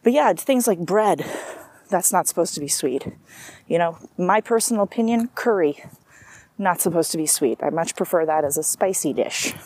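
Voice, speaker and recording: A chatty woman rambles through a personal monologue, doubling back on herself and adding asides. Her voice sits a little low for a woman. The recording is close and dry, with almost no room sound. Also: animated, normal speed, darkish tone, husky, dry recording. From South Africa. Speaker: vlogger.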